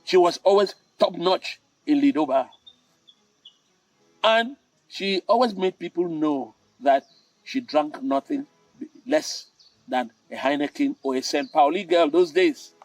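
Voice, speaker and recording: An older man with a Cameroonian accent tells stories and draws morals from them. His voice sits a little high, and he speaks theatrically, leaning on repetition and dramatic pauses. He is recorded at some distance from the microphone, with music in the recording. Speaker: storytelling host. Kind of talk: spoken storytelling with moral advice. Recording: roomy, distant mic with music.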